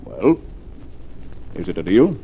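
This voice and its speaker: An actor playing a domineering, scheming wealthy fugitive in middle age, brusque and commanding, in 1940s radio drama style. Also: male voice, deep voice, huge pitch swings, slow pace, gravelly, slightly rough, full character voice. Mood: brisk, commanding. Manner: brusque, commanding, self-assured. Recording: dull, lo-fi 1940s radio recording.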